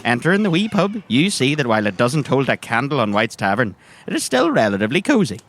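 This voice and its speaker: A man's voice: medium pitch, sing-song, fast, fairly bright tone. A man acts as game master. In a mid-range voice, he narrates the setting of a tabletop game in descriptive detail.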